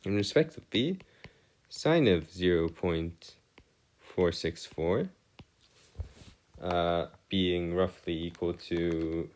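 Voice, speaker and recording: A man giving an explanatory maths lesson as a tutor, with formal, wordy phrasing and halting, hesitant delivery. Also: low-pitched, darkish tone. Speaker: math tutor. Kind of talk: explanatory maths lesson over written working.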